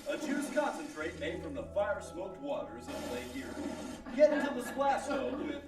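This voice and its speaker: A performer playing a slick, boisterous sandwich pitchman in a parody old-time radio commercial, delivering hammy salesman patter. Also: big pitch swings, normal speed. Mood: gleeful, hammy. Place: live studio broadcast before an audience. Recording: roomy live stage, distant mic, loud music.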